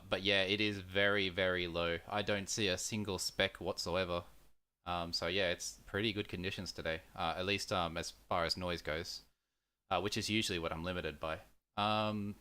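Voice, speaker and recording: A low-voiced man with a bright, forward tone talks shop at his home radio station. He thinks aloud, hesitating and filling gaps with ums. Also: clear, resonant tone. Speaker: ham radio operator. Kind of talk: casual radio chat.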